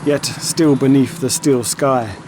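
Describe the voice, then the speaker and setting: A man giving scripted, dramatic narration for an audio story in a measured way. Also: mid-range voice, steady tone, background noise. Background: music and sound effects.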